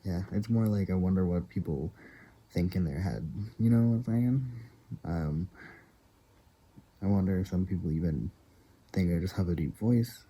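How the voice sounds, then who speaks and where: A man vlogging in a casual, rambling way, full of fillers and frequent soft laughs. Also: deep voice, normal speed, pauses often, slightly rough, poor audio.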